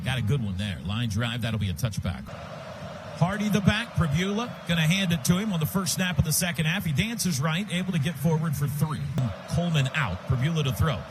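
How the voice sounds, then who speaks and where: An American man calling live football on radio as the play-by-play announcer, in rapid, clipped present-tense phrases. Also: a little high, animated. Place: stadium radio booth. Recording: loud stadium noise behind broadcast.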